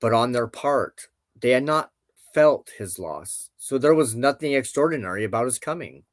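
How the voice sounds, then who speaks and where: A man's voice: mid-range voice, animated, moderate pace, no room sound. A man narrating an old legend aloud as a storyteller. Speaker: host, storyteller.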